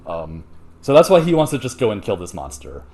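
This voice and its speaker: A man's voice: fairly low pitch, wildly swooping pitch, a little fast, darkish tone. A man hosting a show, retelling a story in casual, slangy, profane and jokey chat. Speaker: host retelling an ancient myth.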